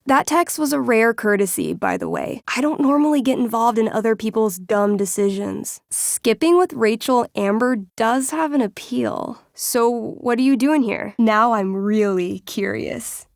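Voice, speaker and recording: A woman voicing a friendly, theatrical teenage girl who runs a tabletop fantasy game, expressive and wry, in a video game. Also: on the high side, measured pace, gravelly, hardly any pauses, no room sound, clean studio sound. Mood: casual, wry. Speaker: game master. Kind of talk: dramatic tabletop storytelling and casual chat.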